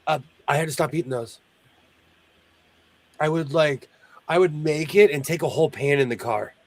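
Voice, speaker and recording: A man with a fairly high voice rambles through a relaxed sewing-room chat. He is playful and self-mocking, and he often breaks off a thought before he finishes it. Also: normal speed. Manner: rambling, jokey, trailing off mid-sentence. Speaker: sewing show host. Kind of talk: casual chat while sewing.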